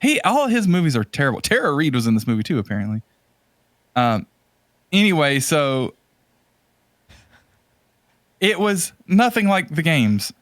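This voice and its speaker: A man's voice: fairly high pitch, very animated, moderate pace, pauses often, a bit bright, dry recording, clean studio sound. A man chatting casually as a podcast host, rambling and enthusiastic about his subject. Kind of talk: casual chat about retro games and movies.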